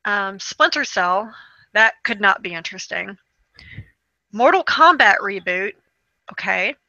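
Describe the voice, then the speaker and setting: Bright, wry woman, casual podcast chat. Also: mid-range voice, expressive, unhurried, no room sound, lo-fi sound. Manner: wry, dismissive asides. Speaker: co-host of a video game review show. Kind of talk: casual chat, reading through a list with commentary.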